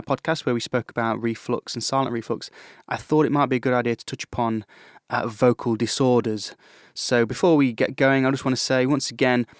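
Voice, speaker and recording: A man hosting his own podcast as a vocal coach, explaining things calmly with frequent fillers and restarts. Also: medium pitch, smooth, no room sound, studio quality. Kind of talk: solo educational podcast talk.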